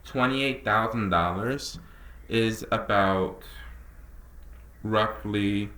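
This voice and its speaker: A man of nineteen talks casually and earnestly to camera as a creative director, drawling some words and rushing others. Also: lowish voice, sharp tone. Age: young adult. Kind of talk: casual talking-head vlog. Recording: echoey room.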